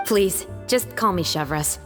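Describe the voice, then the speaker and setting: A woman's voice: on the low side, lively intonation, unhurried, in a large hall, music in the background. An actress playing a dignified, composed young woman officer in a game, speaking politely.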